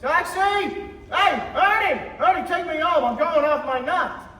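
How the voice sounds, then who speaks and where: A young man playing a frantic, bewildered family man in a high school stage radio play, stammering and urgent. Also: expressive, unhurried, sharp tone, full character voice. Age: young adult. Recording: roomy, distant theater recording with faint noise.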